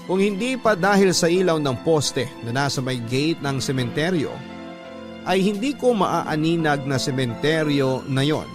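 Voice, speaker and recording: A man's voice: on the high side, animated, a little slow. A Filipino man narrating a letter dramatically in the first person, in radio drama style. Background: music bed.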